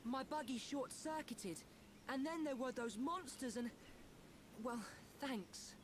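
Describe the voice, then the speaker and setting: High, hesitant game youngster. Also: female voice, takes it slowly, background noise. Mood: shaken, grateful. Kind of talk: video game cutscene acting.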